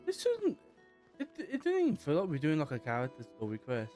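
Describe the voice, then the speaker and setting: A man streaming a game, making loud, offhand remarks with some swearing. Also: on the high side, huge pitch swings, brisk, soft background music. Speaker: streamer playing through a game. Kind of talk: casual live gameplay commentary.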